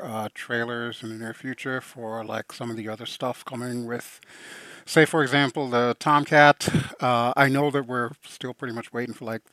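A man's voice: medium pitch, a bit bright, dry recording. A man co-hosting a podcast, asking casual questions in loose, run-on phrases. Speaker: podcast co-host.

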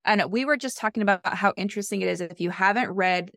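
A woman's voice: mid-range voice, quick pace, creaky, fairly bright tone, no room sound, studio quality. A woman book coach guesting on a podcast, chatty and eager. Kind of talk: casual podcast chat.

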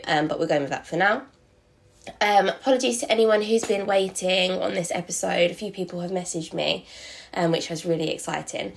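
A young woman hosting her own podcast in casual, rambling chat, a little croaky from a sore throat. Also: lowish voice. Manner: chatty, rambling, many ums and likes. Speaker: podcast host.

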